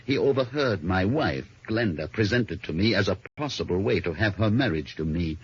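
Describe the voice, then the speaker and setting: A courteous, evasive gentleman under suspicion in an old radio drama. The voice is very low and heavily husky, polished in delivery. The sound is muffled. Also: animated, fairly quick. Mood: guarded. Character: suave, guarded gentleman, murdered woman's husband and suspect.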